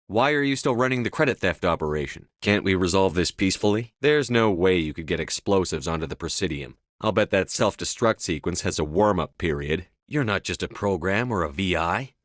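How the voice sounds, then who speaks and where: A man's voice: sits low, expressive, brisk, few pauses, no echo, studio quality. An actor playing a blunt, resolute male military commander in a sci-fi video game, with terse, assertive, controlled delivery. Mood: firm, composed. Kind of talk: scripted video game dialogue.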